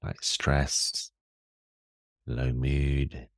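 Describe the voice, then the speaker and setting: A man guiding a meditation in a calm, soothing and measured manner, leaving long pauses. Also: extremely low pitch, dry recording, studio quality. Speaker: meditation guide. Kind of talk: guided meditation.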